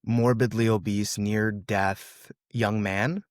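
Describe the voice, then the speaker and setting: A young man with a fairly low voice, recorded close and dry with no room sound. He talks through his life story in an earnest, casual way, often calling the host "brother". Russian is his first language, though he grew up in California. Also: steady tone, slow, no echo. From USA, California. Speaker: guest.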